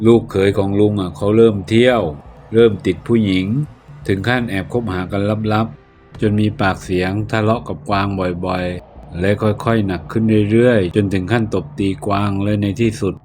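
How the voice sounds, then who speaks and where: Narrator plays a sorrowful elderly man, slow and heavy, low-pitched. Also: steady tone, darkish tone, faint music behind, natural voice. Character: grieving old village man, weary and sorrowful.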